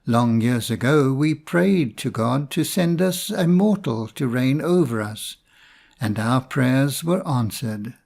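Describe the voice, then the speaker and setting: Guy playing an old royal priest in a fairy tale, solemn and super formal, talks slow. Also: mid-range voice, expressive, creaky, darkish tone, full character voice. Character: grave, deferential old male priest and royal attendant.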